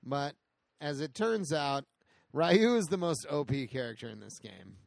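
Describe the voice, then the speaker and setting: Brash, foul-mouthed man with a high-ish voice. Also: expressive, measured pace, vocal fry, dry recording. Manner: brash, swears freely, playful banter. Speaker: co-host. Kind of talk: casual gameplay commentary. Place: indoors, playing video games.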